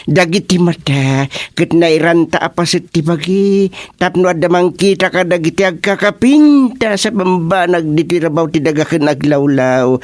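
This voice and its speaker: An actor playing a sheepish, flustered husband with a Filipino Ilocano accent, stammering silly excuses in a radio comedy drama. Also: male voice, on the high side, normal speed, no echo, close to an everyday voice. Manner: stammering, comically exclamatory.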